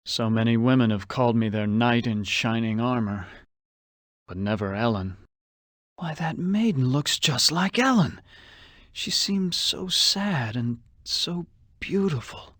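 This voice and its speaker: A man's voice: mid-range voice, lively intonation, a little slow, a bit bright, dry recording, clean studio sound. An actor playing a vain, suave, womanizing con man who muses aloud theatrically and suspiciously in a video game. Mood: wistful. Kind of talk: video game character monologue.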